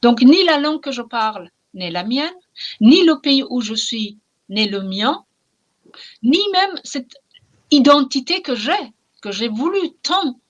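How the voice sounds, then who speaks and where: A middle-aged woman from Iran speaks French with a clear Persian accent, which she learned as an adult. Her voice sits fairly high for a woman. She talks with passion and emphasis, and she pauses often and repeats phrases to drive her point home. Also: very animated, lo-fi sound. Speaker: novelist, interview guest. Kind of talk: reflective interview talk.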